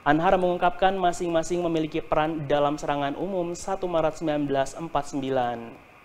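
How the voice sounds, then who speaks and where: Higher-pitched male news anchor. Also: brisk, slight background noise. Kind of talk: scripted news reading.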